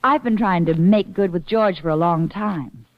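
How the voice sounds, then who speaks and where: A woman's voice: on the low side, moderate pace, dry recording, close to an everyday voice. An actress playing a wry, teasing woman in a 1940s radio drama. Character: teasing woman in love with a detective. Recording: dry, dull old radio broadcast.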